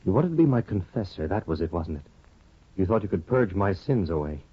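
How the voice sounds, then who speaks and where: An actor playing an intense, wounded former lover in impassioned, halting 1950s radio drama style. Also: male voice, deep voice, big pitch swings, breathy edge, no room sound, exaggerated voice. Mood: intense, hurt. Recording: muffled old radio broadcast.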